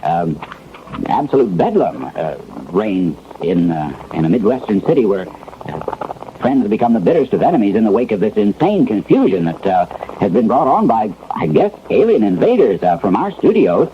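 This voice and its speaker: Male radio anchor, mock breaking news: lowish voice, flustered and hesitant, widely varying pitch, dull old broadcast sound. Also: very animated, moderate pace, slight background noise. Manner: stammering, hesitant, fumbling for words, flustered. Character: shaken male radio news anchor reporting alien invasion.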